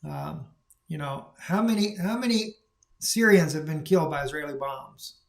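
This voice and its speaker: A man's voice: a little high, animated, moderate pace, vocal fry. A man giving rambling, opinionated solo commentary on the news, emphatic and full of fillers. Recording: echoey room recording.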